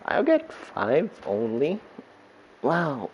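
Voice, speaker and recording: A man's voice: a little high, wildly swooping pitch, drawn-out words, a little mellow, slight background noise, poor audio. A man livestreaming a game, reacting casually to what happens as he plays.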